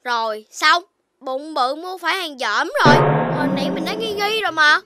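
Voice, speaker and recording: A tiny, bright puppy kid from a children's cartoon, speaking southern Vietnamese. The voice is extremely high and swings expressively, childlike and cute, over prominent music. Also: female voice, expressive, normal speed. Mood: wary, advising.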